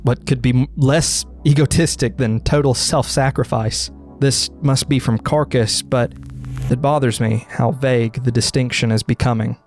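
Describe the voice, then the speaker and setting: A man narrates a horror explainer in character, reading from a script. His pitch sits in the middle of the male range. Music plays faintly behind him. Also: steady tone, moderate pace, a little mellow.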